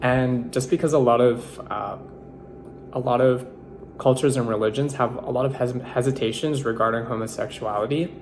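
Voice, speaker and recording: A young man from the Philippines whose first language is Tagalog, giving an earnest, measured personal reflection as a nursing student, pausing with fillers and correcting himself, over a faint background hum. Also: medium pitch, fairly even intonation.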